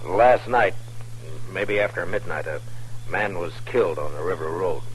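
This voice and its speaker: An actor playing a steady, direct frontier marshal with a terse, measured delivery in an old-time radio western. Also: male voice, deep voice, lightly acted. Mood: reserved, businesslike. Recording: noisy old radio drama recording.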